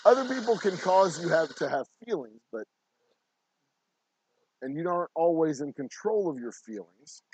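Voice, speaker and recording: Hoarse middle-aged guy ranting bluntly, voice a bit high, noisy workshop with clicks behind. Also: lively intonation, normal speed, pauses often, darkish tone. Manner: blunt, preachy, swears freely. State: hoarse, sore throat. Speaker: distillery owner. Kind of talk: casual vlog monologue while working. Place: distillery bottling room.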